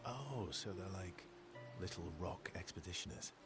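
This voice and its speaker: An actor playing a wry, teasing, warm-hearted male adventurer with dry, playful banter in a naturalistic video game cutscene. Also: low voice, expressive, a little slow. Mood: wry, curious. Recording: loud music behind the voice.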